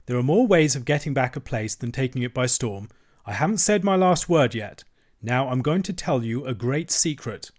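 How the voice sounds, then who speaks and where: A man voicing a stern, fatherly old badger in a storybook, speaking in a measured, weighty way. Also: medium pitch, animated, natural voice. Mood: kindly firm.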